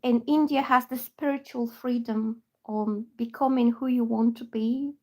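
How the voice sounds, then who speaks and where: A woman with a slightly high voice talks loosely into her phone at home. She has just woken up and meanders from one viewer to the next in easy, casual chat. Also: steady tone, unhurried, mellow. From Bulgaria. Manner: chatty and meandering, reads viewer names aloud. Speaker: tarot reader.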